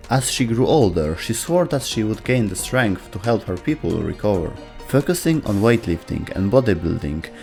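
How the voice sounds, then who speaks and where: A man with a fairly low voice narrates a scripted explainer. His intonation moves around in a lively way, and music plays faintly behind him. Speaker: narrator of a game lore explainer video.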